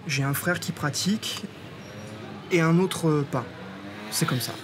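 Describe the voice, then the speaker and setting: Friendly higher-voiced man. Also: a little slow, background noise. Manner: relaxed, friendly, open. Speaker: interviewee. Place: outdoor public event.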